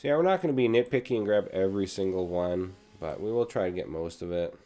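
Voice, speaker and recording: A young man with a cold and a raspy throat, casually talking through a video game as he plays. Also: low-pitched, fast talker. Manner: relaxed, chatty, talks while playing. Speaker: gaming channel host. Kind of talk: casual gameplay commentary.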